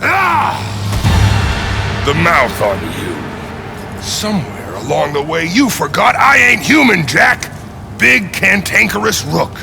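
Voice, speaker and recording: Game creature: huge, bitter, sarcastic brute, gravelly, unhurried, swinging widely in pitch. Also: male voice, huge pitch swings, music in the background, heavily acted. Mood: angry, scornful. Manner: gruff, sarcastic, profane. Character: big cantankerous non-human brute, bitter and distrustful of humans. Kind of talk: video game cinematic acting.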